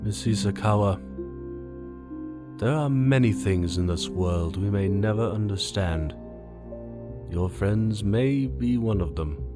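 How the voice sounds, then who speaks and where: A low-voiced, solemn school elder played by an actor speaks in formal, deliberate phrases with a cryptic, cautionary air. Music runs underneath the audio drama dialogue. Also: lively intonation. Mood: measured, formal. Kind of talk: scripted audio drama, anime parody.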